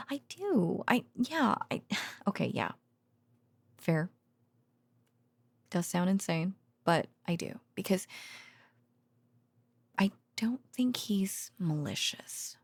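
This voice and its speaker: A woman playing a tender, worn-out researcher girlfriend in an intimate bedtime audio roleplay, speaking haltingly and drifting into whispers. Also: mid-range voice, big pitch swings, gravelly, husky, no room sound, heavily acted. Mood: sad, pitying.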